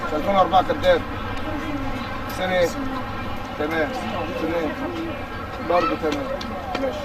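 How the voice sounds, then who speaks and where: A man with an Egyptian accent, a football coach running youth tryouts on an outdoor pitch, briskly calling out orders and questions. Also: steady tone. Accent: Egyptian Arabic. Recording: distant mic, loud crowd. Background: crowd of children and parents.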